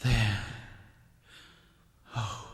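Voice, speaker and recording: An actor playing a tormented, half-mad aristocrat in a radio drama, theatrical and feverish, swinging between hushed and frantic delivery. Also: male voice, drawn-out words, background noise. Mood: mournful, eerie. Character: tormented, half-mad male aristocrat, last of a cursed line. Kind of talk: melodramatic radio drama acting.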